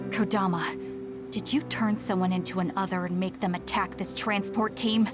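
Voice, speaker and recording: A woman's voice: mid-range voice, steady tone, normal speed, music in the background, natural voice. A woman voicing a serious young platoon leader in an anime-style game, firm and businesslike.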